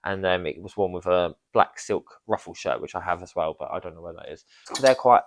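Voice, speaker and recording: A man's voice: sits low, fairly quick, dry recording. Rambling man, dry close sound. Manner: rambling, with many likes and restarts. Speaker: interview guest. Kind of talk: casual chat.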